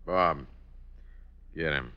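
An actor playing a cool, menacing American outlaw leader in an old-time radio western, laconic and commanding. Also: male voice, very deep voice, drawn-out words, a little hiss or hum, full character voice. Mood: curt, wary. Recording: dull vintage radio recording.

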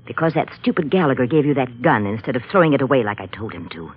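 An actress playing a desperate, unhappy wife turned killer who is cracking up, in breathless 1940s radio drama style. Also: female voice, very deep voice, very animated, fast talker, breathy edge, full character voice. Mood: accusing, bitter. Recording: muffled tinny old radio recording.

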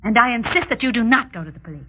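An actress playing a proper, stubbornly disbelieving wife in an old-time radio drama, with a slightly husky voice and a polite, clipped, defensive manner. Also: female voice, fairly high pitch, animated, rapid-fire. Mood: firm, agitated. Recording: dull, lo-fi vintage radio recording.

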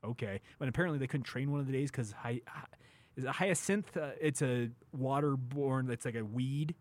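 A man's voice: medium pitch, normal speed, no echo. An American man hosting a sports radio show in a casual, conversational style. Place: radio studio.

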